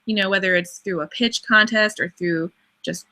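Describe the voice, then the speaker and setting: A woman's voice: medium pitch, fairly even intonation, moderate pace, pushed and bright. A young woman co-hosting a podcast, chatting casually and upbeat. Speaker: podcast co-host. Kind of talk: casual chat.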